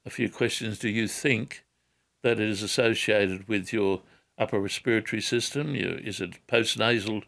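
Measured older man giving radio health advice. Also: fairly low pitch, vocal fry, a bit bright. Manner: measured, repeats words, hedges before advising. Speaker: radio health expert answering callers.

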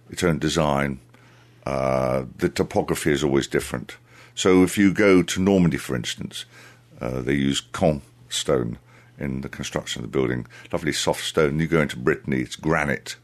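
A man answering interview questions as a tour guide, speaking in a deliberate, halting, conversational way. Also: rumbling low. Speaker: tour guide, radio guest.

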